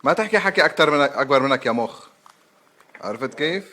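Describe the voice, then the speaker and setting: A Lebanese man makes a prank call over the telephone at a very rapid pace. His voice sits a little high, and he goads the other party with crude, vulgar street banter. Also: animated, bright, forceful tone. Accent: Lebanese Arabic. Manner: taunting, vulgar, goading. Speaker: prank caller.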